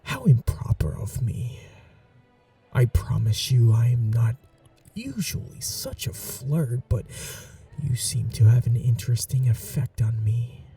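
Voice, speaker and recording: A man voicing an ancient royal vampire lord in an ASMR roleplay, suave and flirtatious, speaking slowly with low breathy whispers. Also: lively intonation, distant mic, soft background music. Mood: seductive. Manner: slow, seductive, softly whispering.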